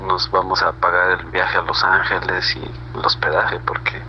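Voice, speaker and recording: A low-pitched Mexican man chats as an interview guest. He rambles casually in slang, often ending on a tag question. The internet call sounds dull and slightly noisy. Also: little pitch movement, normal speed, bright, forceful tone. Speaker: reggae band member being interviewed.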